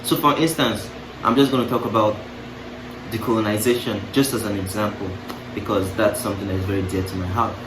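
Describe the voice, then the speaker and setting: Young male vlogger, lower voice, loose, musing delivery with joking asides; distant mic, room echo, audible noise. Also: fairly even intonation, brisk. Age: young adult. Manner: musing, self-interrupting, playful asides with laughs. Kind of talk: casual reflective monologue to camera. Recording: roomy, distant mic, clear background noise.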